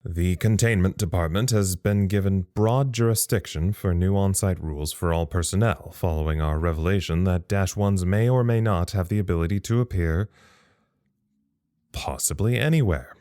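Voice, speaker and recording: Actor as male research site log-keeper, low voice, dry close sound, thoughtful, uneasy, measured, audio drama. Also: dark tone, no room sound, close to an everyday voice. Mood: official, measured. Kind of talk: audio drama monologue as a recorded incident log.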